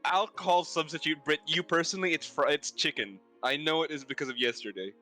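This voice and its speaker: Joking man with a very bright voice. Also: fairly high pitch, fairly quick. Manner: joking, sweary. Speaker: co-host of a live stream. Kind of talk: casual group banter. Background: background music.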